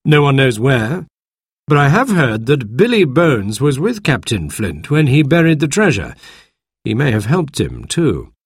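A man's voice: mid-range voice, big pitch swings, unhurried, breathy edge, no room sound, studio quality, pitched above the performer's own voice, full character voice. A man voicing a careful, serious older doctor who speaks earnestly.

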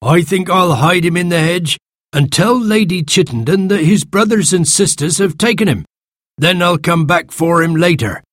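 A man's voice: sits high, moderate pace, fairly bright tone, dry recording, studio quality, pitched above the performer's own voice. A man voicing a sly, scheming coachman in a storybook reading. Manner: sly, plotting.

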